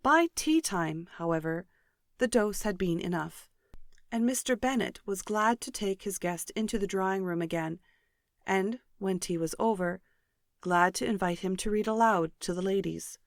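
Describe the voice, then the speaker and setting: A woman's voice: medium pitch, normal speed, dry recording, studio quality. A woman reading classic fiction aloud as scripted narration. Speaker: audiobook narrator.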